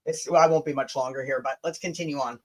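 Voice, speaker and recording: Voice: mid-range voice, steady tone, fast talker. A livestream host chatting casually and reading messages aloud, blunt and sweary, stumbling and rereading as they go.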